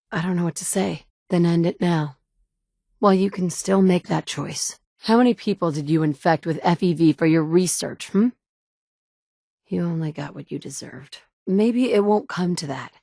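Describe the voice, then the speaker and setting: A woman's voice: lowish voice, warm tone, no echo. A woman playing a determined mother turned hardened wasteland survivor, speaking firm, direct lines of game dialogue. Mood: matter-of-fact. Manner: firm, direct, dry and commanding. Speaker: player character voice. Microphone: studio mic, close.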